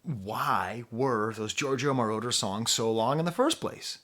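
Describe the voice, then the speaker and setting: Animated, rambling male music reviewer. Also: mid-range voice, measured pace. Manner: animated, rambling, self-deprecating. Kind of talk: casual on-camera album review.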